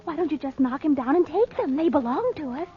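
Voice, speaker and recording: An actress playing a bold, excitable girl in a 1940s radio serial, urgent and impulsive. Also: high-pitched, fairly quick. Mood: scheming, eager. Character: bold, excitable girl urging her companion on. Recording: muffled old radio recording.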